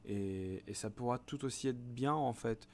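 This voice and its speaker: A man's voice: medium pitch, unhurried, slight background noise. A young man co-hosting a casual chat, talking in a slangy, rambling way. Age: young adult. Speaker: co-host.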